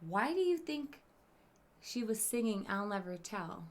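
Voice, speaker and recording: A woman teacher reading a storybook aloud to children, expressive and emphatic, with questioning asides to her listeners. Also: mid-range voice, a little slow, a little hiss or hum.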